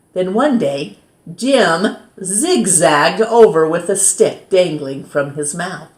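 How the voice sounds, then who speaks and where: A woman reads a children's story aloud as a storytime host, in an expressive, measured way that dramatizes key words. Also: sits low. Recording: roomy, echoing sound.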